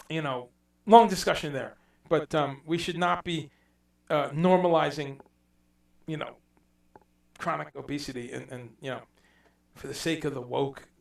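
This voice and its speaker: A man's voice: on the high side, creaky. A man hosting a casual livestream, rambling, reading out viewer comments and swearing now and then. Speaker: livestream host. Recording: echoey room, voice a bit far from mic.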